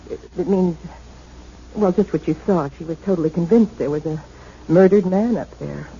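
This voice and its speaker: Actress as composed woman with dark tone. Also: lowish voice, normal speed, close to an everyday voice. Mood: confiding, dismissive. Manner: polite, discreet, explanatory. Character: composed, confiding sister of a troubled woman. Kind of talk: 1970s radio drama acting. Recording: muffled, hissy vintage radio broadcast.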